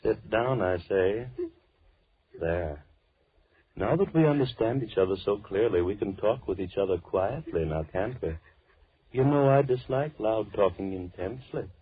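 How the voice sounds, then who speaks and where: An actor playing a politely menacing madman who holds two girls captive, speaking in a measured, mannered way in an old-time radio drama. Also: fairly low pitch, lively intonation, breathy edge, no room sound. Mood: smugly polite. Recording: muffled lo-fi vintage radio recording.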